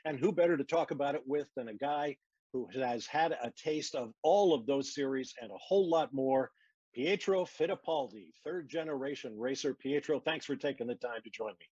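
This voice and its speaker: A man's voice: mid-range voice, normal speed, a little mellow, slightly rough, dry recording. A man doing a broadcast motorsport interview as the interviewer, with a polished, wordy delivery and wry asides. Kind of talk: broadcast interview, scripted intro. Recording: dull, muffled lo-fi audio.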